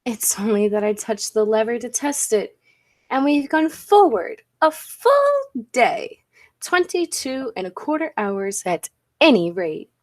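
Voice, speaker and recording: A woman playing a bold, absorbed professor and inventor in a 1940s-style radio play, with a deliberate, commanding, theatrical delivery. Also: on the high side, very animated. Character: Dudley, bold, absorbed scientist and professor inventor of a time machine.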